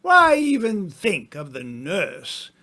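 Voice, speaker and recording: A man acting in an old-time radio mystery as a suave, smug gentleman sleuth, with a theatrical, accusing delivery. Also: high-pitched, wildly swooping pitch, slow pace, heavily acted. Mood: relentless, triumphant.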